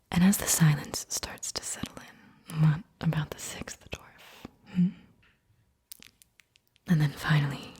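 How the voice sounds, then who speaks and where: Woman, whispered ASMR, very breathy, low-pitched, guided visualization host, gentle direct address, soft check-in questions. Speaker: ASMR host guiding listeners.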